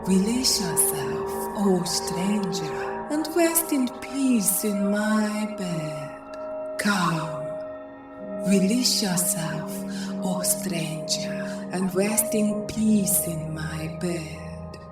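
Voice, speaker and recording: A woman's voice: lowish voice, extremely slow, loud music behind the voice. A woman playing a seductive, ageless fae siren in an audio play, speaking in a languid, incantatory manner. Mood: chanting, luring.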